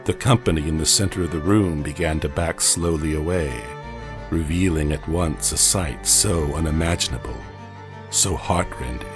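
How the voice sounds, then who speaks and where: A man voicing a solemn angel who recounts an ancient tragedy in a grave, theatrical storytelling manner for an audio comic. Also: a bass voice. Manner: grave, portentous, theatrical, dramatic pauses. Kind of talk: dramatic scripted storytelling, audio comic book.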